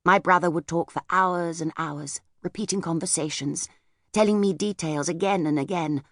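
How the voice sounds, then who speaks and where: A reserved older lady from a children's audiobook, as a woman narrator plays her. Her low, slightly gravelly voice is gentle and hesitant, drifting wistfully through memory in a dry, close recording. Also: moderate pace, no echo. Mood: reflective. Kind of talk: audiobook character voice.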